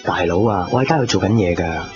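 A man's voice: low-pitched, fast talker, close to an everyday voice. An actor playing a self-assured young working man with casual Hong Kong Cantonese speech in a radio drama. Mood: impatient. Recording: dull lo-fi radio recording, music behind.

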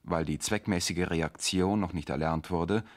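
An actor playing a sober male reader, narrating formally in a measured, factual manner for a radio drama. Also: low voice.

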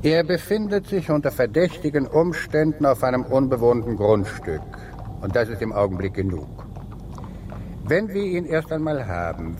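Actor as a proud, animated police inspector. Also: male voice, mid-range voice, close to an everyday voice. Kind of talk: radio drama acting. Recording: clear ambient noise behind radio play.

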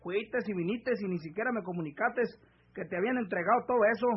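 An actor playing a scheming, greedy husband from the countryside, with a rural northern Mexican accent and an insistent, rustic way of talking, in an old radio serial. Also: male voice, sits high, fast, a little hiss or hum, close to an everyday voice. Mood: pushy, aggrieved. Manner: rustic, insistent, pressing speech. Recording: dull vintage AM radio drama recording.

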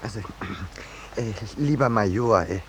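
An actor playing a polite, hesitant and peaceable painter in a 1950s German radio drama. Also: male voice, on the low side, lively intonation, slow pace, fairly bright tone, slight background noise, heavily acted. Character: mild, peaceable, hapless male landscape painter.

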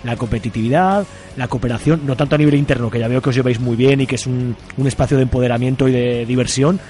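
A man's voice: mid-range voice, fairly even intonation, fast, warm tone, soft background music. A man with a Spanish accent from Spain hosting a casual radio interview in an informal, chatty way. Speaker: radio show host interviewing guests.

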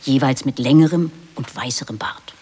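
A man's voice: mid-range voice, moderate pace, a little mellow, breathy edge, lightly acted. A man playing a matter-of-fact narrator who reads stage directions in a radio play.

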